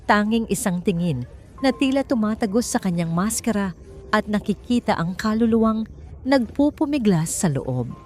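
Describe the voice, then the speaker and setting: A woman with a Filipino accent narrating a scripted romance story in a dramatic, deliberate way. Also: medium pitch, lively intonation, a little mellow. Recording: music behind the voice. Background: background music.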